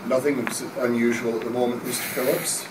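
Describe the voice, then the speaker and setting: A man playing a measured, skeptical astronomy professor, reading a 1930s radio play from a script. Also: medium pitch, level tone, lightly acted. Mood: measured, dismissive. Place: hotel meeting room at a convention panel. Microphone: camera across the room. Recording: echoey noisy room, distant camera mic.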